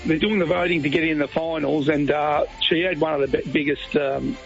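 Male caller, gravelly, a bit high, excited. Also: dull audio, music in the background. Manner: excited, rambling, halting. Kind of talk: casual phone-in chat.

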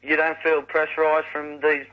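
A male caller on talkback radio, speaking in a hesitant, halting way. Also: on the high side, monotone. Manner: hesitant, halting, full of ums. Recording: dull lo-fi archival radio recording.

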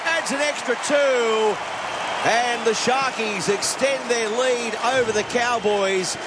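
A man with a slightly raspy voice, calling a live rugby league match with shouted, jokey commentary. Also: very high-pitched, takes it slowly. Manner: excitable, jokey, shouting over the crowd. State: raspy throat. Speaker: play-by-play commentator. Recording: loud stadium crowd and noise behind. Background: stadium crowd.

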